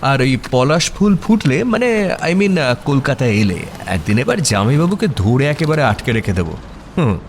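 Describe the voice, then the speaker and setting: A playful young man in an audio drama, teasing and mock-dramatic. His delivery swings widely in pitch, with faint ambient noise behind it. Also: very animated, moderate pace. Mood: cheeky teasing. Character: young theatre-mad male best friend, playful, cheeky teaser. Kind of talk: audio story dialogue in casual Bengali.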